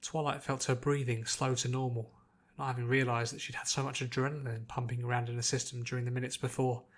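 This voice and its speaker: A man's voice: mid-range voice, level tone, fast. A man reading a scripted adventure story aloud as its narrator. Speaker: narrator, podfic reader.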